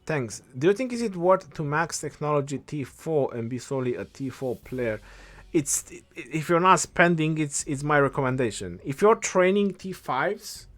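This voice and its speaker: A young man with an Eastern European accent, answering chat questions on a game live stream in a relaxed way, thinking aloud as he goes. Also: fairly high pitch, moderate pace.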